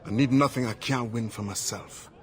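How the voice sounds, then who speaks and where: Actor as a proud, terse, stoic assassin. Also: male voice, medium pitch, natural voice. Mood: proud, guarded. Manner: terse, measured, wary. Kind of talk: video game cutscene acting.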